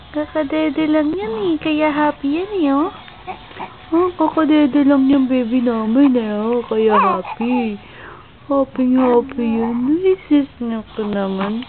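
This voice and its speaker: A Filipino woman talking to her baby in playful, sing-song baby talk, cooing and repeating teasing little questions. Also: high voice. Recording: tinny, phone-like home recording.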